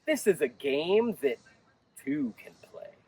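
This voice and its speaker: Man as sensible, high-pitched lady scout leader. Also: sing-song, slow, distant mic, background noise, full character voice. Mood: scheming. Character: sensible, confident female scout leader explaining calmly.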